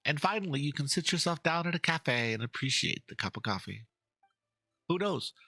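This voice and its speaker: A man's voice: fairly high pitch, animated, moderate pace, pushed and bright, no room sound, pitched above the performer's own voice, full character voice. A man voicing a passionate, pompous painter who speaks in florid, theatrical phrases, in a dramatic reading of game dialogue. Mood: cordial, chatty. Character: passionate, fussy male painter, florid and easily indignant.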